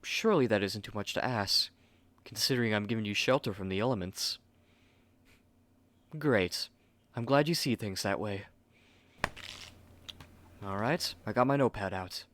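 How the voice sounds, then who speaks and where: A man's voice: lowish voice, moderate pace, pauses often, a bit bright, no echo, close to an everyday voice. A man playing a haughty, fussy young manga artist in an ASMR roleplay, speaking curtly and articulately to the listener on a close mic. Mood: haughty, inquisitive.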